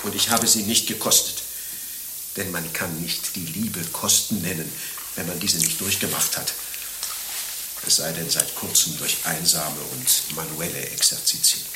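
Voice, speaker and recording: A man's voice: deep voice, fairly even intonation, normal speed, reverberant, background noise, lightly acted. An actor playing a lovesick, naive middle-aged former monastic in a German radio play, declaiming his confessions with rhapsodic anguish. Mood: lovesick, confessional.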